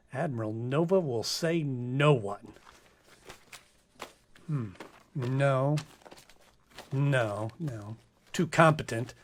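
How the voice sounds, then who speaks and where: A man's voice: fairly high pitch, husky, heavily acted. A man playing an earnest, self-important space hero in a comic radio play, hesitant and melodramatic. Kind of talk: comic old-time-radio-style acting.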